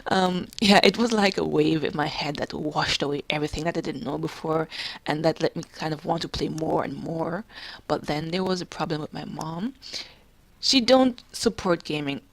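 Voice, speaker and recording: Low-voiced young woman, soft and halting. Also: vocal fry, no echo. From Jamaica. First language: English. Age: 20s. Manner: soft-spoken, halting, quiet and calm. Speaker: gamer. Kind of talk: personal commentary.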